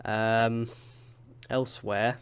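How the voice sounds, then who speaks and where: Guy, blocked-up nose from a cold, rambly casual game commentary, mid-pitched voice. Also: level tone, drawn-out words, smooth. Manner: chatty, rambling with fillers and restarts. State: stuffy from a cold. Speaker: gaming YouTuber. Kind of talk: casual let's-play commentary.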